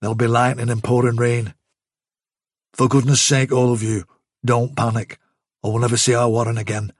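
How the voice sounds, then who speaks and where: A man voicing a gruff, wary male rabbit fighter in an audiobook, serious and cautioning. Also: medium pitch, little pitch movement, moderate pace, dry recording, clean studio sound, close to an everyday voice. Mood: grim warning.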